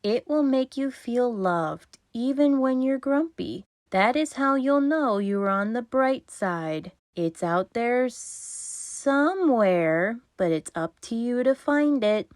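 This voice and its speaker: A woman voicing a mysterious male snake in a children's storybook, with drawn-out, hissing speech. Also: fairly high pitch, expressive, dry recording, clean studio sound, pitched above the performer's own voice.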